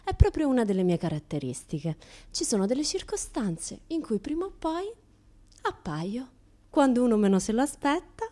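A woman's voice: fairly high pitch, very animated, normal speed, mellow. An actress playing a brash, unashamed, chatty woman with a Roman accent in a comic radio monologue, talking in a colloquial, teasing way. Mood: breezy, showy. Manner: colloquial, teasing, mimics other people in dialogue. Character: brash, chatty naked woman who turns up everywhere, unashamed.

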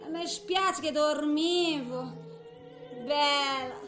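A woman's voice: high-pitched, very slow, a bit bright, echoey room, faint music behind, heavily acted. An actress playing a frail, bewildered eighty-year-old grandmother who rambles to herself in a theatrical monologue. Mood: tender, bewildered.

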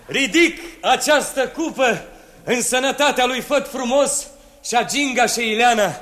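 An actor playing an emperor, ceremonious when raising a toast and grave when speaking privately, in a 1960s radio drama. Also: male voice, very high voice, slight background noise. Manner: ceremonious, then grave and quiet. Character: old emperor, grateful and solemn. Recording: old radio recording with a crowd behind.

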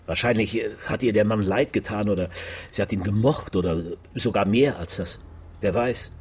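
A man's voice: on the low side, moderate pace, slightly rough. An actor playing a reflective male police investigator in a radio drama, speaking in a thoughtful, conversational way. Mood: pensive. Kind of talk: German radio drama acting.

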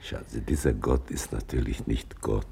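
An actor playing a gruff older would-be writer in a radio play, with a gravelly, husky voice and a wry, theatrical manner. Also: male voice, very deep voice, moderate pace, mellow, heavily acted. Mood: sardonic.

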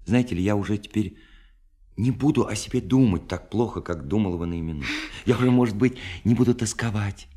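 A man acting a lonely young dreamer in a literary radio play. His voice is deep and husky, and he pours out his heart in flowery, bookish phrases, broken by dramatic pauses. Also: mellow, lightly acted. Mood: sorrowful, grateful. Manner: ornate, bookish, impassioned speech with dramatic pauses.

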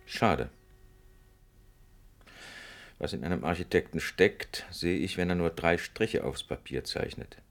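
Low-voiced, imperious older professor. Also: male voice, normal speed, creaky, a bit bright. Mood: regretful, authoritative. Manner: authoritative, self-assured. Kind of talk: radio play dialogue. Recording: music under studio radio drama. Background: music.

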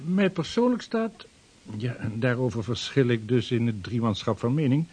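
Casting: male actor as a stiff trade official, breathy, radio play. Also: mid-range voice, lively intonation, normal speed, darkish tone. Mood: earnest. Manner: formal, deferential. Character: formal 16th-century Dutch trade official. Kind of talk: radio drama acting.

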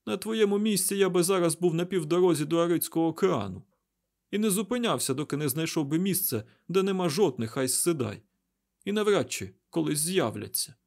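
Man acting a high-strung, panicky young man, pitched way up. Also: animated, brisk, gravelly, a little mellow. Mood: agitated. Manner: agitated, sputtering, exclamatory. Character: panicky, hot-tempered young man, blurting and cursing.

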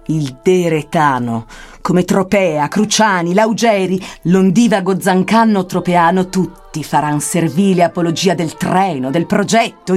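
A woman's voice: medium pitch, darkish tone, close to an everyday voice. A woman playing a stern, scornful poet guide through hell, declaiming archaic verse for radio. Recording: music under the voice. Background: music.

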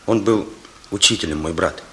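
Intense young policeman, sharp-voiced. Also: low voice. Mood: bitter, agitated. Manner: intense, halting, emotional. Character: earnest, indignant young male police operative. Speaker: actor. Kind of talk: Soviet radio drama acting.

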